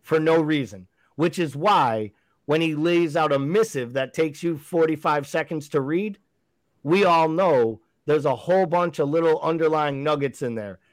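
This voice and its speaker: A man arguing his case in a casual sports chat, pushing hard and asking rhetorical questions. His voice sits a little high for a man and sounds dry and close, with no room around it. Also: moderate pace, dry recording. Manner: emphatic, argumentative, rhetorical questions. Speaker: podcast co-host.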